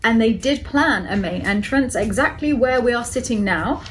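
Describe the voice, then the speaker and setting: A woman, hosting a relaxed group Q&A and explaining things at length. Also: fairly high pitch, normal speed, a little hiss or hum. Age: 40s. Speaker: chateau owner and host. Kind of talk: casual group Q&A chat.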